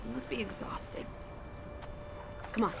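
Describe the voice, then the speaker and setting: A woman's voice: on the high side, a little slow, vocal fry, noisy background. An actress playing a breezy, teasing young woman companion in a 1920s video game drama, wry and bossy but caring. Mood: soothing, coaxing. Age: young adult. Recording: music behind the voice.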